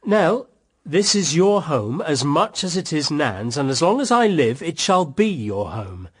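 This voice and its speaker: An actor with a British accent playing a hearty, possessive husband with an emphatic, commanding way of talking, in radio drama style. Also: male voice, a little high, big pitch swings, unhurried, slightly rough, full character voice. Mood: firm, possessive. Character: hearty, possessive husband protecting his sister-in-law.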